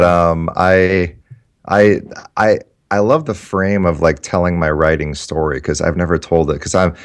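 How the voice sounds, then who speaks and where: Deep-voiced man, rambling storyteller. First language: Japanese. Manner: rambling, full of restarts and "like". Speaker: guest writer. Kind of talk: casual interview talk.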